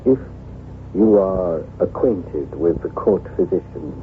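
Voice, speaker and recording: A man's voice: sits low, animated. Anguished nobleman on old radio. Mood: grave, haunted. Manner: formal, grave, halting theatrical delivery. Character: anguished, haunted nobleman husband devoted to his ailing wife. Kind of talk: old-time radio drama acting. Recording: noisy, narrow-band vintage radio broadcast.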